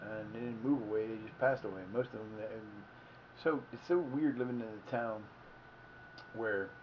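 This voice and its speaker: A man's voice: lowish voice, normal speed, muffled recording, a little hiss or hum. A man in his fifties from Ohio, rambling and correcting himself as he tells casual stories on a livestream. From Ohio, United States. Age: 50s. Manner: meandering, self-correcting, folksy. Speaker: livestream host.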